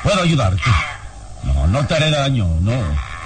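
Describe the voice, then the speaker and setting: An actor playing a brave, calm, protective adventure hero in a vintage radio serial, with a solemn, deliberate delivery. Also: male voice, mid-range voice, background noise. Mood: urgent, soothing. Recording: radio serial, distant, music behind.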